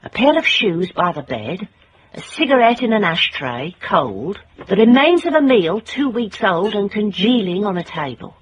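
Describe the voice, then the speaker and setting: An actress playing a tough, determined private investigator in a radio drama, dry and wry. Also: female voice, medium pitch, sing-song, normal speed, husky, rough recording. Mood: wry, observant. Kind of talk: radio drama acting with first-person narration.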